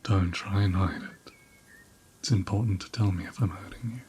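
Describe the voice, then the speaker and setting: A man playing a gentle, caring ram in an ASMR roleplay, speaking in a soft, breathy half-whisper with a soothing, tender manner. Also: deep voice, moderate pace, slight background noise, close to an everyday voice. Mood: soothing, reassuring. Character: gentle, caring male ram tending an injured lamb.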